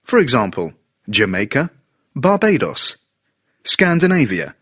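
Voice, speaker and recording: A man narrates a learner's lesson, reading place names one after another. His voice is somewhat husky and his pitch moves freely, at a slow pace. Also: huge pitch swings, a bit bright. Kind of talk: scripted narration.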